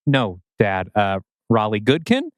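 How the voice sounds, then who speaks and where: Man playing a guarded young dad, expressive pitch. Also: unhurried, dry recording, clean studio sound. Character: guarded, tense stay-at-home father, grown son.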